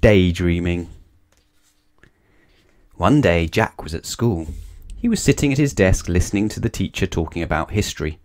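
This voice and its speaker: A man reading a children's storybook aloud with expressive narration. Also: sits low, normal speed, pauses often.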